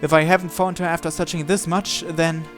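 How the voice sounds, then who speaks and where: A man's voice: fairly high pitch, flat delivery, a little fast, fairly bright tone, faint music behind. A man hosting a visual novel playthrough, reading the first-person narration aloud.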